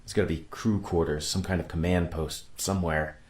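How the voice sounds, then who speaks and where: A man acts out a spaceship captain with a notably low voice in a science fiction radio play. He is grave and authoritative, with a weary edge to the way he gives orders and weighs things up. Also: fairly even intonation, brisk, a little mellow, lightly acted. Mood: uneasy, commanding.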